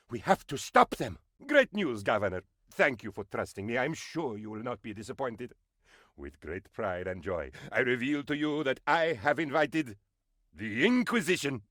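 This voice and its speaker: A super eager suck-up presidential aide for a funny game, melodramatic, voice bouncing all over, dry studio sound. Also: male voice, a little high, dry recording. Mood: earnest, then gleeful. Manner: obsequious, excitable, melodramatic. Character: eager fawning male presidential aide in a Caribbean republic. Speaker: game advisor character. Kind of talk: theatrical comedic game voice acting.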